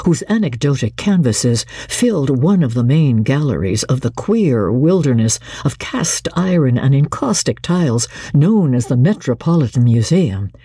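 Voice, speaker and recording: A woman narrates literary fiction with dramatic expression. Her voice is very husky and mellow, and her pitch moves about freely in a lively reading. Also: no room sound, clean studio sound. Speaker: audiobook reader.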